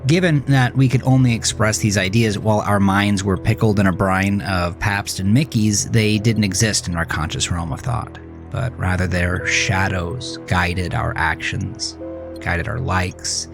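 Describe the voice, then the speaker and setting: Jaded brooding man telling a confessional horror story about his wasted youth, really deep gravelly voice, music under it. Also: steady tone, measured pace. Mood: brooding, bitter. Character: jaded man recalling his angry, self-destructive teenage years. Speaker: narrator of a horror audio drama. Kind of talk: first-person scripted horror narration, dramatic monologue.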